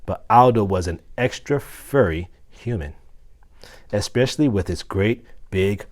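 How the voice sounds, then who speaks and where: A man reads a children's storybook aloud at a slow, careful pace. His voice swings up and down in an expressive way, with deliberate phrasing, and sits a little on the low side. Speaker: assistant principal reading aloud.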